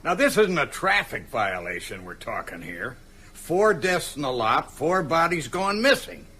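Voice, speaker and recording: An actor playing a gruff, sceptical small-town constable with a sardonic, needling manner in a radio drama. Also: male voice, on the high side, sing-song, unhurried, noisy background. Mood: pressing, accusing. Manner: sardonic, needling, folksy interrogation.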